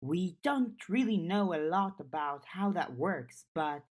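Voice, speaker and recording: A woman's voice: fairly low pitch, expressive, takes it slowly, voice pushed up. A woman voicing a blunt, restless teenage girl with a wry streak in an audiobook. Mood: musing. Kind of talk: audiobook character dialogue.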